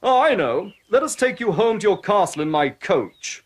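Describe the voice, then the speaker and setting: A man playing a jovial, excitable, gracious king in a children's cartoon, exclamatory and grandly polite. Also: high-pitched, normal speed. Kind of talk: dubbed children's cartoon acting.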